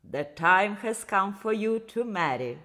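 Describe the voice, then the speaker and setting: Woman voicing a slow, commanding fatherly Tsar, Russian accent. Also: medium pitch, animated, a bit bright, big-room sound, voice pushed up. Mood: solemn command. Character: solemn fatherly old Tsar instructing his sons.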